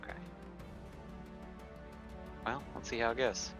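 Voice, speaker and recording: A man's voice: mid-range voice, little pitch movement, unhurried, over music. A man playing a tabletop role-playing game, talking casually and hesitantly as he thinks aloud.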